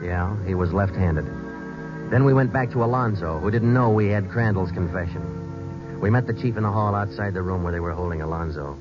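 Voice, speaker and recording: An actor playing a terse, deadpan male police sergeant who narrates an old-time radio detective drama. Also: sits low, brisk, natural voice. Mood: matter-of-fact narration. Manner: clipped, deadpan. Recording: dull vintage radio recording, music behind.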